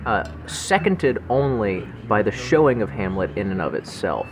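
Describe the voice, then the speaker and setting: A man's voice: on the low side, big pitch swings, moderate pace, music in the background. A young man on a comic podcast panel, talking in a mock-pompous way. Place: sushi restaurant. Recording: busy restaurant chatter behind.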